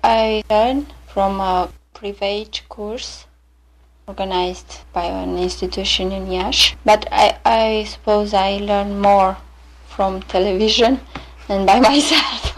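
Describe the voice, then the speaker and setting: A woman from Romania, a native Romanian speaker with a Romanian accent, talking about herself in English in a hesitant, halting way with many pauses and fillers. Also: medium pitch, steady tone, poor audio. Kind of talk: unscripted personal monologue for a listening exercise.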